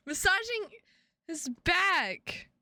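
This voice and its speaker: A woman live streaming games, chatting casually with viewers in an excitable, sweary way and repeating her phrases for emphasis. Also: very high-pitched, very slow, no echo. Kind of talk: casual livestream chat with viewers.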